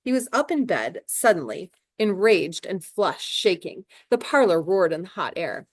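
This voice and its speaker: A woman, a teacher, reading a novel aloud in plain, steady storytelling with small restarts. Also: fairly low pitch, unhurried, no echo. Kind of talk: read-aloud narration with brief commentary.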